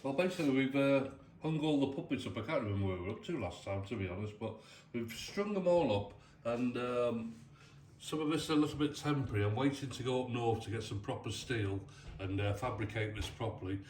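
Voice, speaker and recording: A man's voice: medium pitch, fairly quick, a little mellow. English man, echoey and off-mic. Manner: matter-of-fact, colloquial explaining. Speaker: restorer of vintage arcade machines presenting the work. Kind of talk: casual hands-on demonstration. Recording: roomy, distant mic with clear background noise.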